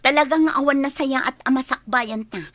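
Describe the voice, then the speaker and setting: A woman's voice: high voice, fairly bright tone, dry recording. A woman with a Filipino accent acting a hurt, nagging, thrifty girlfriend in an emotional, scolding radio drama style. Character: Bianca, hurt, nagging, thrifty girlfriend confronting her boyfriend.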